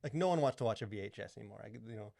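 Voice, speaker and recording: Low-voiced man, rambling casual podcast talk. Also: animated, very fast, gravelly, warm tone, no echo. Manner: rambling, peppered with "like" and "you know". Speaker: podcast co-host. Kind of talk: casual discussion of culture and faith.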